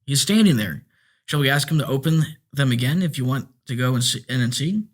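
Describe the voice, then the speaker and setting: A man's voice: medium pitch, lively intonation, fairly quick, lightly acted. A man reading a story aloud, voicing a worried, respectful male chauffeur. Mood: earnest. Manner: respectful, calls the listener sir.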